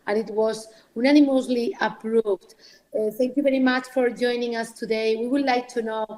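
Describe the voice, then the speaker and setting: A woman moderating a panel, introducing the next speaker slowly and hesitantly, with fillers. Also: a little high, darkish tone, poor audio.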